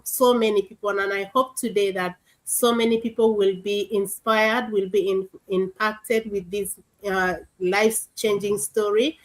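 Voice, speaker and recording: A woman's voice: medium pitch, low-quality recording. A woman from Sudan, hosting a live online interview show in an earnest way and often repeating words for emphasis. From South Sudan. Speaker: host. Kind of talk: livestream interview.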